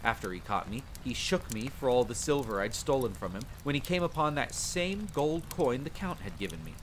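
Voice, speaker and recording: A man's voice: mid-range voice, close to an everyday voice. A man playing a young, brash but good-natured vampire hunter and former street thief, telling his story informally and theatrically in an audio roleplay. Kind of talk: dramatic campfire storytelling roleplay. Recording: steady rain and fire ambience noise. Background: rain, rolling thunder, campfire ambience.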